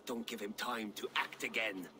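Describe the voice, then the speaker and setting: An actor playing an impatient, scornful Viking clansman in a video game, speaking in a curt, gruff way. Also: on the low side, steady tone, moderate pace, background noise, close to an everyday voice. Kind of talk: dramatic video game acting.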